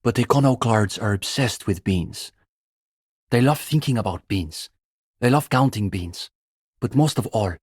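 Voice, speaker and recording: Young male student radical, lowish slightly husky voice, earnest, bookish, smugly doctrinaire, pedantic video game dialogue. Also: darkish tone, no room sound, studio quality. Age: young adult. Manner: intellectual, opinionated, dryly pedantic.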